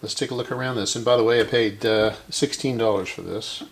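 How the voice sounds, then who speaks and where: A man with a gravelly voice casually narrating his hands-on repair work as host, musing and thinking aloud as he goes. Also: on the low side, fairly even intonation, brisk. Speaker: host of a vintage radio repair video.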